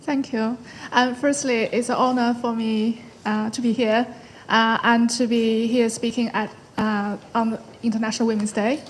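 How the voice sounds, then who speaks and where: Woman, fairly high voice, panel guest, short thoughtful phrases, faint background hum. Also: flat delivery. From China. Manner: thoughtful, speaks in short phrases with frequent pauses. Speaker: panel guest, tech founder. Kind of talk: spontaneous panel answer.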